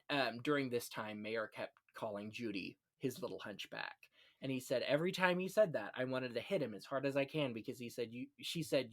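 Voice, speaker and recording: A man hosting a casual film-history discussion, talking in an effusive, opinionated way full of anecdotes. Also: mid-range voice. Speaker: podcast host on classic Hollywood films.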